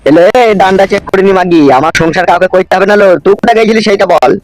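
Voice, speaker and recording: A hot-tempered cartoon village politician, acted by a man, with a fairly high voice. He bullies coarsely and very fast over clear background music. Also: natural voice, poor audio. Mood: threatening. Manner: coarse, bullying. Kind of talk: cartoon comedy dialogue.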